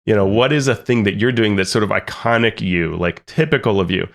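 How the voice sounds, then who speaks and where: A man's voice: fairly low pitch, fairly quick, vocal fry, fairly bright tone. A man co-hosting a gaming podcast, talking chattily with lots of asides about running games. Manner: chatty, filler-heavy, full of asides. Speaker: podcast co-host. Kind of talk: casual podcast discussion.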